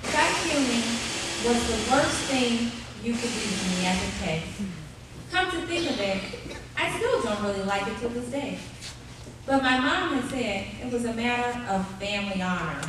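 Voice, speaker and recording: A woman's voice: on the high side, expressive, normal speed, natural voice. A woman playing a wry adult narrator who looks back on childhood in a live radio play. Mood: wry, nostalgic. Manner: wry, storytelling. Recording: echoey live stage recording, distant, noisy.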